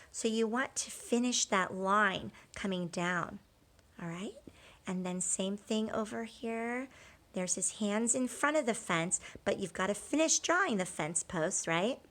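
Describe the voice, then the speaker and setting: A woman teacher talking children through a drawing step by step, conversational and encouraging, often checking in with short questions. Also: medium pitch.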